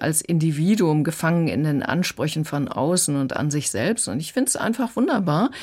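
A woman giving her opinion as a reviewer in a conversational radio discussion. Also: sits low. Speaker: audiobook reviewer.

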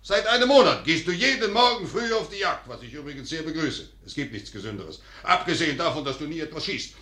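A man's voice: a little high, big pitch swings, fast, pushed and bright, reverberant. An actor playing a grumpy, domineering, middle-aged landowner father who blusters and sulks in a German radio play. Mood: puzzled, reproachful. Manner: blustering, muttering, sulky.

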